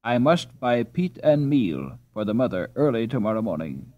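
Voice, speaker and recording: A man's voice: mid-range voice, very animated, moderate pace, dark tone, muffled recording, lightly acted. A man voicing a humble, polite and honest poor Dutch boy in a storybook. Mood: polite, careful. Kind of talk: storybook character reading.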